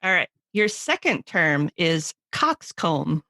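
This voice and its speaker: Casting: female podcast host, bright lively voice, giggly casual banter, dry recording. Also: deep voice, takes it slowly, no room sound. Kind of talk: casual chat.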